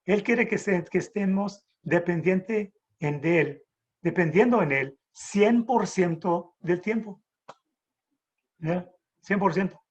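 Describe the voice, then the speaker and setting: A middle-aged man from the Texas border teaches the Bible in a loose, halting way, circling back with restarts and asking his listeners "right?". His voice is husky and sits a little high. The dull, lo-fi audio comes through a video call. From Texas border, raised in San Antonio. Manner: halting, many restarts and rhetorical "¿verdad?".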